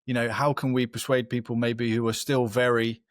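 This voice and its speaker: A man's voice: mid-range voice, level tone, moderate pace, dry recording, clean studio sound. A man giving explanatory answers as a company representative on a webinar panel, in long, qualified sentences.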